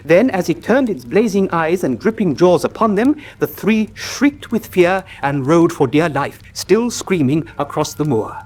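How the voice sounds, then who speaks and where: An actor playing an earnest country doctor who tells a grim tale gravely and articulately in a radio drama. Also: male voice, on the high side, big pitch swings, unhurried, few pauses, darkish tone, heavily acted. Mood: grave, dramatic.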